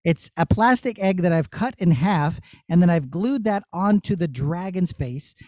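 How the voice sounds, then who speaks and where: Male puppeteer, middle-aged, high voice, casual teaching delivery for young listeners. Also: animated, normal speed, a little mellow, no room sound, studio quality. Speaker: puppeteer teaching a master class. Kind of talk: casual explaining to children.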